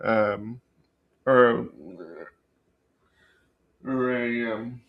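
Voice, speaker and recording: A man hosting a book channel, telling a story casually and unscripted, haltingly searching for words and often rephrasing himself. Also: mid-range voice, level tone, lo-fi sound. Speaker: book review channel host.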